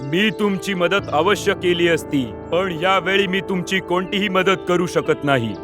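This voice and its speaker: A man voicing a grave forest sorcerer in an animated fable, with a solemn, authoritative manner. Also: high voice, a little slow, music in the background. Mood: stern. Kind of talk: dubbed animated moral fable.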